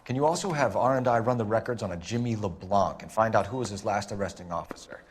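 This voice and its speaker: An actor playing a stern police detective in a game, questioning in a clipped, formal, insistent way. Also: male voice, lowish voice, fast talker, background noise, natural voice. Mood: businesslike. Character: male police detective, stern, probing, tightly controlled. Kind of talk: dramatic interrogation dialogue.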